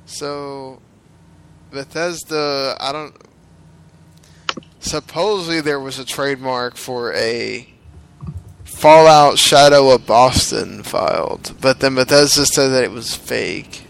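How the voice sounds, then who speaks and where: A man's voice: mid-range voice, takes it slowly, vocal fry, slight background noise. A man leading a casual gaming podcast, throwing out topics in a loose, sarcastic way. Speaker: podcast host.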